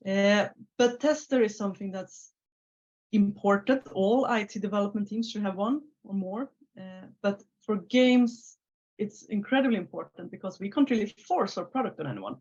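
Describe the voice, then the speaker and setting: A woman's voice: medium pitch, normal speed. Swedish-accented woman on a muffled call. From Sweden. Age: 30s. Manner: relaxed, many filler uhs and restarts. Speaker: guest. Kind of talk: casual interview talk. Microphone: video call. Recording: slightly muffled video call audio.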